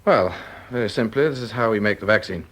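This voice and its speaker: A man's voice: lowish voice, fairly quick, a bit bright, slight background noise, lightly acted, low-quality recording. An actor playing an obliging, earnest scientist in a radio drama, speaking politely and precisely as he explains things. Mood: obliging, explanatory.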